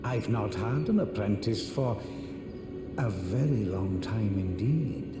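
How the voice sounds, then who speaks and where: An actor playing a haughty, condescending, scheming sorcerer and puppeteer in a fantasy video game, with pompous, sneering, theatrical phrasing. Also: male voice, on the low side, expressive, takes it slowly. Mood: scheming, sly. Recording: echoey hall reverb under loud music.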